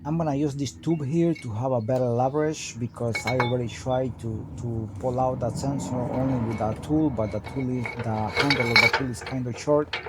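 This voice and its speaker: A man's voice: mid-range voice, fairly even intonation, moderate pace, few pauses, heavy background noise. A man giving a hands-on auto repair tutorial, explaining each step in a plain, practical way. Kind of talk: hands-on how-to narration.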